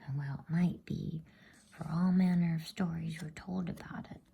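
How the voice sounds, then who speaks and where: A woman whispering a bedtime story as ASMR in a soothing, hushed, drawn-out voice. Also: low voice, fairly even intonation. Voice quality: breathy whisper.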